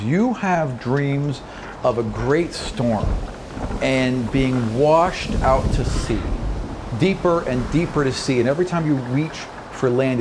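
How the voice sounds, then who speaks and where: A man's voice: mid-range voice, background noise. A man working as dungeon master, narrating a tabletop game with dramatic, explanatory storytelling.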